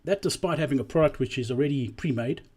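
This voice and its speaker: A man giving an explanatory business presentation as a voice-over, speaking deliberately and emphatically and repeating key phrases, with a slightly husky voice. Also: medium pitch, darkish tone. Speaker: presenter analysing drive-through performance.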